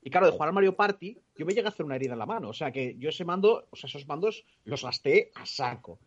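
A man's voice: fairly high pitch, animated, fast, highs cut off. A man from hosting a casual gaming podcast, rambling a little and laughing along with his co-hosts. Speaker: podcast host.

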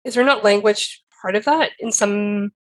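A woman's voice: mid-range voice, moderate pace. A young woman co-hosting a podcast, chatty and self-deprecating, using lots of casual fillers. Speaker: podcast co-host. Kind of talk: casual chat.

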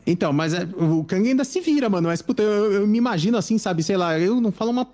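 A man co-hosting a podcast, talking in an excitable, slangy way and swearing often. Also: sits high, fast, faint music behind. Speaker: podcast co-host. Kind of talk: casual podcast chat.

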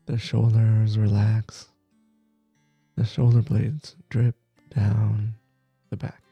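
A man leading a guided meditation as teacher, gentle and soothing, with soft breathy phrases that trail off between long pauses. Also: lowish voice, flat delivery, no echo, lo-fi sound, faint music behind. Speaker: meditation teacher, podcast host.

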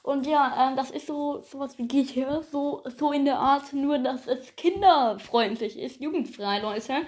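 A woman's voice: high-pitched, unhurried, darkish tone. A woman improvising a homemade audio play, chatting casually and hesitantly straight to the listeners.

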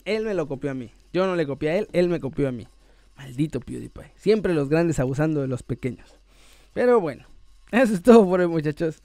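A Mexican man with a high voice hosts an online sports show in a casual, chatty style. He is playful and joking, drawing out words for emphasis. The sound is dry and close. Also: darkish tone, clean tone, dry recording. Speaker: host of a sports news video channel. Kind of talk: casual chat to viewers, reading out messages and shout-outs.

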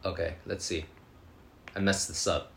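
A man's voice: low-pitched, fairly even intonation, vocal fry, a bit bright, a little hiss or hum. Man, learner vlogger; casual, hesitant delivery, sounds out new words. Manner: halting, lots of fillers, sounding out foreign words slowly. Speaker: language learner reacting to a show. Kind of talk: casual commentary.

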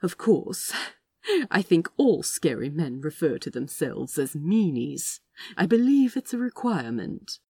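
A woman voicing a gentle, mannerly man who holds back his grief, in an audio drama with polite, old-fashioned phrasing. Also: sits low, very animated, unhurried, breathy edge, no room sound, studio quality, heavily acted. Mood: teasing, fond.

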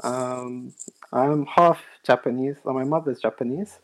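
Soft-spoken London man in his thirties. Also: mid-range voice, fairly even intonation, unhurried, rough recording. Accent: London English. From South London, England. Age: 30s. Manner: soft-spoken, hesitant with many ums. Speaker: podcast guest, animator. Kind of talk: casual chat.

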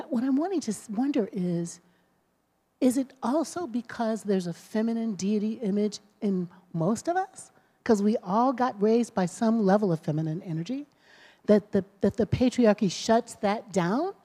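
A woman's voice: medium pitch, moderate pace, dark tone, husky. A woman pastor hosting a conversation at a service, speaking with rambling, preacherly emphasis. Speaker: pastor and host. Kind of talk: sermon conversation. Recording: long hall reverb.

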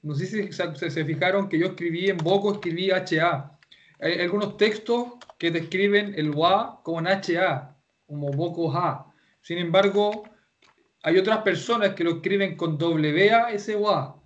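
A man's voice: high-pitched, normal speed, highs cut off. A man teaching a Japanese class online, explaining patiently and repeating himself with many restarts. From Chile.

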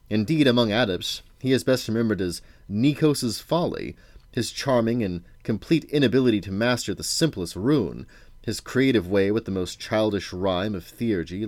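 A man's voice: lowish voice, a little mellow, no echo, close to an everyday voice. Man playing a sly, purring old wizard. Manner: purring, silky, mock-affectionate. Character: genial, sly, condescending old male wizard.

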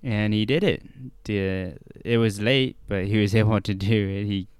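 Man, deep clear voice, slow hesitant talk, lots of uhs, dry close sound. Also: fairly even intonation, darkish tone, no room sound. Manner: slow, hesitant, many fillers. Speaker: podcast co-host. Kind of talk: casual scripture discussion.

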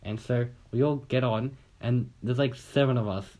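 A man's voice: mid-range voice, fairly even intonation, normal speed, a little mellow. A young man from Australia telling a long, rambling story in casual chat on a podcast, swearing casually and acting out what people said.

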